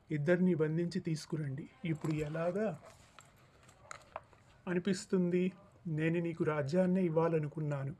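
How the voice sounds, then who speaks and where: A man's voice: on the high side, warm tone, a little hiss or hum, close to an everyday voice. A man playing a commanding king and anxious father in a cartoon story, with an imperious, demanding delivery. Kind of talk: acted cartoon story dialogue.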